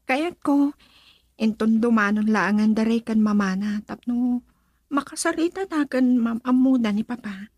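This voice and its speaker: A woman's voice: on the high side, unhurried, darkish tone, no echo. A young woman with a Filipino accent acting a hesitant, earnest daughter who is considering marriage in a radio drama.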